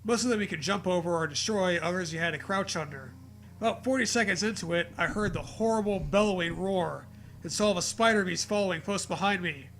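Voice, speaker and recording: A man's voice: sits high, a little fast. A man hosting a dramatic reading of a story, mixed with crude, joking commentary. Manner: profane, jokey, animated reactions.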